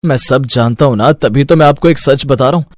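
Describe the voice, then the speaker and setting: A man's voice: mid-range voice, fairly quick, darkish tone, dry recording, lightly acted. A man acts a dutiful fifteen-year-old son in a cartoon moral tale. He explains himself earnestly and reassuringly in a mid-range voice. The recording is dry and close. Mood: eager. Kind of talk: animated moral-story dialogue.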